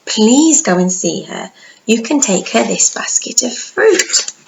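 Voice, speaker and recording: A woman voicing a kind mother in a children's story, speaking gently and slowly. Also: fairly high pitch, very animated, gravelly, noisy background, exaggerated voice. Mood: gentle. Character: kind mother of a little girl.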